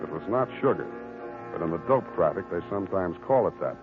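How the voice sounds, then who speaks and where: An actor playing a wry, weary hard-boiled private detective, narrating and talking in an old-time radio drama. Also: male voice, sits low, animated, brisk, over music. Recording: tinny old radio recording.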